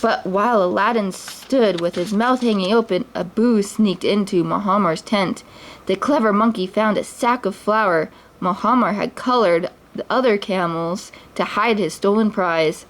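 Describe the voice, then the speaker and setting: A woman reading a children's picture book aloud at story time. Her gentle read-aloud has the odd stumble and restart. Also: mid-range voice, a little slow. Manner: gentle, with small slips and restarts.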